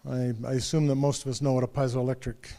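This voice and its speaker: A man's voice: mid-range voice, flat delivery, quick pace, dark tone. A man leading an in-person club meeting, making casual, rambling announcements with fillers and restarts. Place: meeting room.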